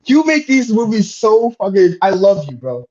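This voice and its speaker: A man's voice: high-pitched, sing-song, moderate pace, muffled recording, over a noisy crowd. A young man chatting casually on a podcast, effusive and slangy, with frequent swearing. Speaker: podcast panelist.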